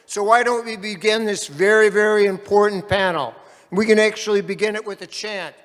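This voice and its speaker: A man moderating a panel at an activist conference, speaking loudly and calling out to the crowd like a rally speaker. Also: fairly even intonation, moderate pace. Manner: loud, booming, calls out to the crowd. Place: large conference hall. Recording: reverberant hall.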